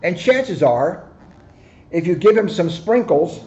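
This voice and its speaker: Older man, high voice, muffled recording. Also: big pitch swings, slow pace, a little mellow, a little hiss or hum. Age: 60s. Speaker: police officer reading a children's book. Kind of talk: picture book read-aloud with joking asides. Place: office, indoors. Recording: dull, lo-fi camera microphone.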